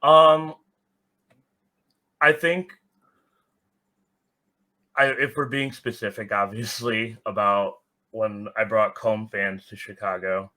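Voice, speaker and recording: A man with a fairly low voice and a bright, punchy edge speaks as a podcast guest. His talk is candid and casual, full of "like", with blunt opinions and some swearing. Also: fairly even intonation, takes it slowly, long pauses, low-quality recording. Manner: blunt, swearing, heavy use of "like". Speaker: podcast guest, soccer supporters group organizer.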